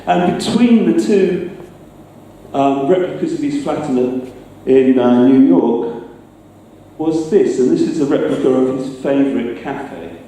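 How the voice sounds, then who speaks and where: A man's voice: medium pitch, animated, unhurried, mellow. A man giving an informal talk to a live gathering, chatty and hesitant, with a self-deprecating streak. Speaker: presenter, sound effects maker. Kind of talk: informal public talk with demonstration. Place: live talk in a reverberant indoor venue. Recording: echoey room, distant mic, faint noise.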